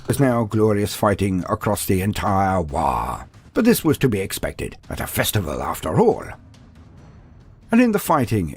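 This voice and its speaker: A man's voice: lowish voice, darkish tone, slightly rough. A man giving a scripted, dramatic narration of fantasy war lore. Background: music.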